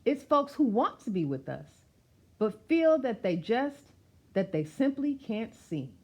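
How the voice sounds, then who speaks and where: A woman reading a rhyming picture book aloud as a storyteller, expressive and emphatic, with questions and asides to her listeners. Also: on the high side, unhurried.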